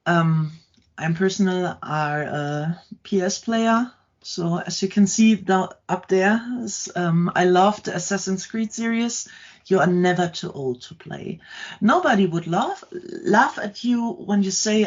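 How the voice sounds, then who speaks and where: Slow, halting middle-aged woman. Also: fairly low pitch, dull audio. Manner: halting, many ums and restarts. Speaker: guest, content creator. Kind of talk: casual interview chat.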